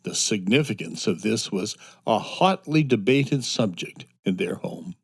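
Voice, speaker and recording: Slow-paced male children's story narrator. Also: lowish voice, vocal fry, husky. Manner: measured, expressive storytelling. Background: soft music.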